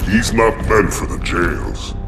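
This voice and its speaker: An actor playing a brutish, bullying alien warrior chieftain in a video game, gruff, gravelly and domineering. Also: male voice, lowish voice, slow pace. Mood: scornful, barking. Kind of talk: video game cinematic acting. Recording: game cinematic under clear music.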